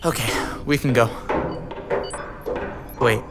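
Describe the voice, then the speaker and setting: A man's voice: medium pitch, steady tone, slow pace, over music, close to an everyday voice. A young man playing a nervous, mopey male spaceship passenger in an audio drama, hesitant and stammering. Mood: reluctant, worried. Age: young adult. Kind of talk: scripted audio drama acting.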